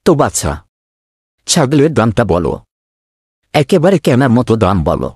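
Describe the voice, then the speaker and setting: Man playing a husky, pompous old village uncle. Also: medium pitch, sing-song, unhurried, darkish tone, no echo, clean studio sound. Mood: interested, haggling. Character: gullible, self-important older village uncle buying a goat. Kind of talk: comedy cartoon voice acting.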